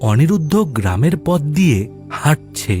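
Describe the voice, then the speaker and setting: A man's voice: fairly high pitch, very animated, moderate pace. A man reading scripted narration for a story as its narrator. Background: music.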